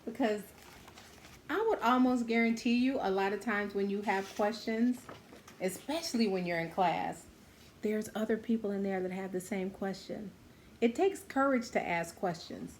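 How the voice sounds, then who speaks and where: A woman reading a children's book aloud, adding simple, encouraging asides spoken directly to her young listeners. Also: a little high. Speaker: children's story-time host reading a picture book aloud.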